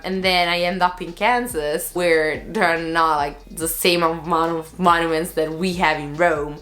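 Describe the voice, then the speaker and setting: A woman's voice: fairly low pitch, fairly bright tone. A young woman from Italy with an Italian accent, talking casually to camera in earnest, loosely phrased English full of fillers. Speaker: vlogger.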